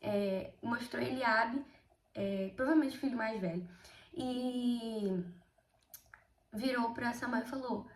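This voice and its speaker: A sixteen-year-old girl with a Brazilian accent, slightly hoarse from a cold, chatting through a casual Bible study vlog and often addressing her viewers. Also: a little high, slow, long pauses, reverberant. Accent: Brazilian Portuguese. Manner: chatty, keeps addressing viewers, emphatic storytelling. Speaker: video host.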